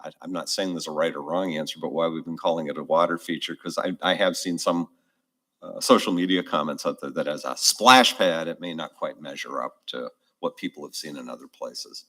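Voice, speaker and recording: A man's voice: very deep voice, a little fast, pushed and bright, slightly rough. A man at a city council meeting answering questions, explaining things with hedges and restarts. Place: council chamber. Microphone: desk microphone.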